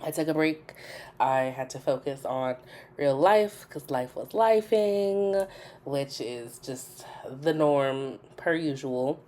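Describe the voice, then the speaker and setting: A woman's voice: low-pitched, animated, slow pace, warm tone. A woman who sounds nasal and congested with a cold, giving casual, chatty voice-over commentary as a gaming video host and often repeating phrases for emphasis. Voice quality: stuffy, nasal.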